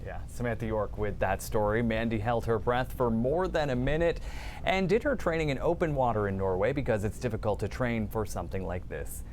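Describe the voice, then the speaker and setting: A man anchoring a local newscast, introducing a report and chatting afterward in a smooth, conversational way. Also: mid-range voice, a little fast, darkish tone, slight background noise. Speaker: news anchor.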